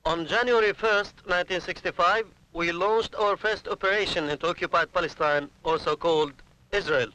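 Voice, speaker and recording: A man's voice: high voice, moderate pace, bright, forceful tone, a little hiss or hum, poor audio. A man giving scripted first-person political testimony as narration on a 1970s documentary soundtrack. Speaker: Palestinian militant narrator.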